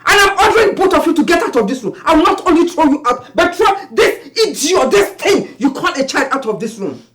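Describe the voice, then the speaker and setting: An actress playing a fierce, protective mother who shouts orders to defend her son. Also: high voice, very animated, fairly quick, roomy sound. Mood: furious.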